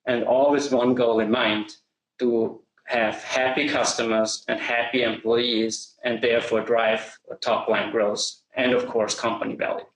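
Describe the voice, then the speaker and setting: A middle-aged man from Austria speaks English with an Austrian German accent. He gives a measured, steady business presentation at a middle pitch. The sound is roomy and echoey. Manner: measured, slows to stress key phrases. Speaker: company founder presenting.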